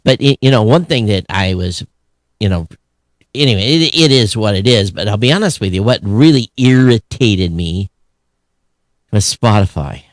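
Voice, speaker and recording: A man co-hosting a podcast in casual, opinionated chat, animated and sarcastic, often halting and breaking off mid-phrase. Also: lowish voice, dry recording, clean studio sound. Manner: animated, sarcastic griping with mock quoted voices. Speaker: podcast co-host.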